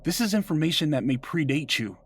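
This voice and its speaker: An actor playing a passionate, accusing vampire councillor in an audio drama, with a husky voice and rhetorical delivery. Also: male voice, fairly high pitch, big pitch swings, a little fast. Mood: persuasive. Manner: impassioned, rhetorical. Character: passionate, accusing male vampire councillor who argues for peace.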